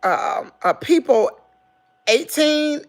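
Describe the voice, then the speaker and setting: A middle-aged woman with a Southern American accent, hoarse, chatting casually and gossiping with her viewers in a dramatic way. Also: high voice, expressive, slow. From Southern United States. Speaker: vlogger.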